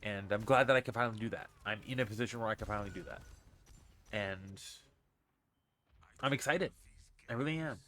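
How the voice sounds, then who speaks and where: A man's voice: fairly low pitch, brisk, long pauses, fairly bright tone. Stuffy-nosed man with a faint Boston accent. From Massachusetts, USA. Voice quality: stuffy, congested. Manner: rambling, with many restarts and fillers. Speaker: streamer and vlogger. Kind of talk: casual personal vlog. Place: his bedroom with a fan running. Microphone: desk mic on a stand.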